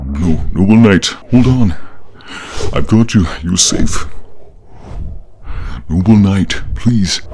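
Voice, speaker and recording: A man's voice: dark tone, a little hiss or hum, full character voice. A man voicing a protective, tender dragon in human form, speaking earnestly and with feeling in an audio role-play. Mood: desperate, pleading. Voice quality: deep, husky, breathy. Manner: earnest, emotional, caring.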